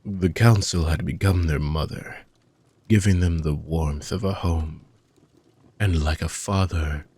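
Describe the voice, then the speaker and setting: Man voicing an ancient dark mage, very deep and gravelly. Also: steady tone, exaggerated voice. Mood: solemn, brooding. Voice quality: gravelly, husky. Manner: soft, deliberate, formal archaic phrasing. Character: ancient dark mage villain, weary, tender, brooding. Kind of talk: slow, intimate dramatic monologue for sleep-aid audio roleplay.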